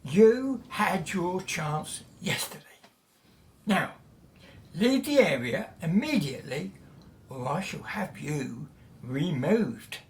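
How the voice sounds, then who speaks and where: An actress plays an officious man guarding a site in a radio drama. The delivery is pompous and dismissive, measured in pace, with very animated pitch. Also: a little hiss or hum. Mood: scornful. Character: officious, sneering male British Museum official guarding site. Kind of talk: audio drama acting.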